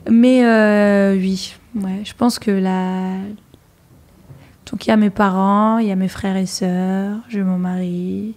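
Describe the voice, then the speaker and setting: A lively woman in her thirties, born and raised in Algeria, talking as an interview guest. She speaks in a mid-pitched voice, laughs easily and piles up fillers and restarts as she thinks aloud. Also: slow. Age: 30s. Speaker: guest, journalist and TV presenter. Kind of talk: casual interview talk.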